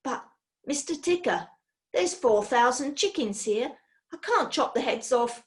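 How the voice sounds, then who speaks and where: A woman's voice: high-pitched, lively intonation, measured pace, pitched above the performer's own voice. A nervous young farmhand pleads and worries in a slightly raised storybook voice, as a woman reads him. He sounds uneasy and timid in front of his boss. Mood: worried. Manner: anxious, pleading. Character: nervous male farm assistant, worried.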